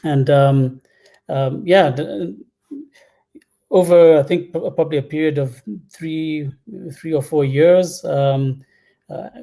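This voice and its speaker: A man's voice: on the high side, slow, vocal fry, mellow. A man in his thirties from Zambia, telling personal stories casually and hesitantly as an interview guest. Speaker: interview guest, product executive. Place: indoor room at home, on a video call.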